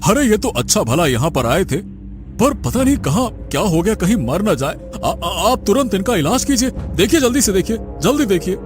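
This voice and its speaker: Man as expressive, high-pitched village headman. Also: normal speed, faint music behind. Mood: alarmed. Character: deliberate village headman judging a dispute.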